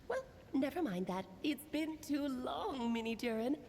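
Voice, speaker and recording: A playful grown-up witch in a high, swooping voice. She is breezy and eccentric, telling things with theatrical flourish in anime game style. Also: lively intonation, unhurried, a little mellow, slight background noise. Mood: teasing, breezy. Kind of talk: anime video game voice acting.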